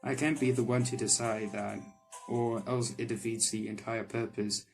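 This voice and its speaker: A man's voice: medium pitch, steady tone, normal speed, vocal fry, a little mellow, soft background music, natural voice. A man voicing a blunt but caring candy shop owner in a visual novel, speaking in a dry, casual way.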